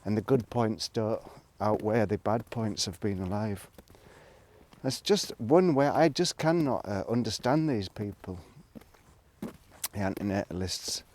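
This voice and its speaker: A man talking casually to a vlog audience in a reflective, rambling monologue, musing haltingly and trailing off between thoughts. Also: lowish voice, expressive, darkish tone. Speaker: vlogger. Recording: noticeable background noise, clicks.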